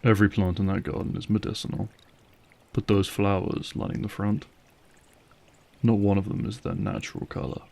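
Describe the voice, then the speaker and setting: Man acting gravelly vampire hunter, lowish voice, terse, dry, guarded, fantasy audio drama. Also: fairly even intonation, moderate pace, a little mellow. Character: wry, guarded male vampire hunter, gruff protective ally. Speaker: voice actor playing a vampire hunter.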